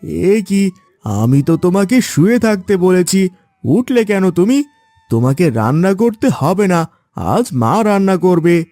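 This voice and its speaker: Guy doing fed up young village husband, cartoon, mellow voice, swings around a lot, slow. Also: high voice, big pitch swings, no echo, faint music behind. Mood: exasperated. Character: exasperated young village farmer husband, henpecked. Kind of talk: Bengali cartoon voice acting.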